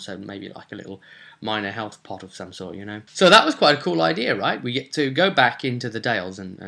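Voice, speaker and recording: Bright-voiced man recapping a tabletop game. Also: lowish voice, gravelly. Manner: conversational, rhetorical questions and tag questions. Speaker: game master. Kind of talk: casual recap of a tabletop role-play session to camera or listeners.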